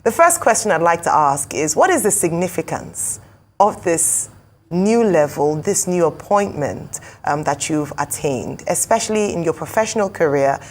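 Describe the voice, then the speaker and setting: Nigerian lady news anchor, formal and polished, kinda low voice. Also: sing-song, moderate pace, slight background noise. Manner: formal, warm praise. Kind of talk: broadcast interview.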